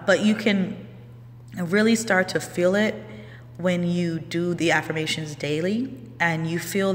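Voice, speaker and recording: A woman in her thirties, coaching casually on a live stream with a slightly low voice. She talks in a relaxed, rambling way, full of ums. The sound carries a long reverb and a faint hum. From Houston, Texas. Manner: relaxed, chatty, many ums and restarts. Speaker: life coach.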